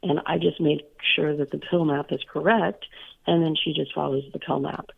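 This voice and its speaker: A hoarse, raspy-voiced woman co-hosting a radio show, chatting casually with many fillers. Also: sits low, steady tone, moderate pace. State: hoarse, recovering from illness. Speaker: radio co-host. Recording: tinny phone line.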